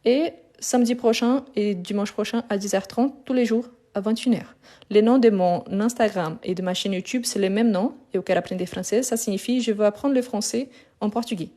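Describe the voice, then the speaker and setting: A woman from France and Brazil teaches in an informal livestream. Her voice is mellow and dark, sitting at a middle pitch. She explains things simply and often rephrases what she has just said. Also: fairly quick. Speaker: French teacher hosting a live class. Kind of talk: informal livestream lesson talk.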